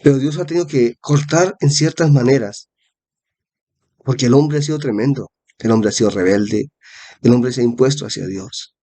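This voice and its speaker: Mellow-voiced man preaching with a head cold. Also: mid-range voice, normal speed. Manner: repeats phrases for emphasis. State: congested with a cold. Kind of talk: sermon, Bible teaching.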